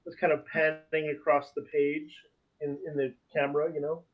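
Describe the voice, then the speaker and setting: Husky higher-pitched man, dull lo-fi sound. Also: flat delivery, normal speed. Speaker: podcast co-host. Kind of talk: casual podcast chat.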